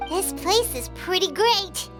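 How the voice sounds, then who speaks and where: A tiny, squeaky game companion with an extremely high, swooping voice. The little creature is chirpy, earnest and friendly, speaking over prominent background music. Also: female voice, lively intonation, slow pace, exaggerated voice. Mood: reassuring. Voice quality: squeaky, childlike. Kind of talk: anime-style game voice acting.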